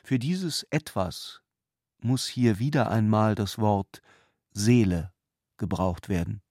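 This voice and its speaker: A man's voice: lowish voice, slow, darkish tone, dry recording, clean studio sound. A man reading literary scripted narration for a radio drama.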